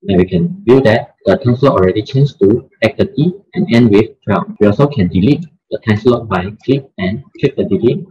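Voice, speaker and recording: A young man, a student presenter, walking through a software demo in a plain, slightly halting way. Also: on the low side, husky, muffled recording. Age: young adult.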